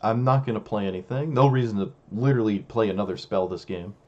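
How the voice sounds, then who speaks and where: A young man with a hoarse, failing voice, casually commenting on his own card game as a streamer, musing and self-critical. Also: lowish voice, quick pace, dark tone, lo-fi sound.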